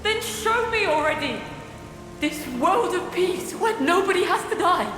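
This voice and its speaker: A woman's voice: very high voice, lively intonation, measured pace, over music. A woman playing an intense, demanding game character who challenges someone's ideals. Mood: fierce demand. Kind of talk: video game cutscene acting. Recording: echoey long reverb, rain behind.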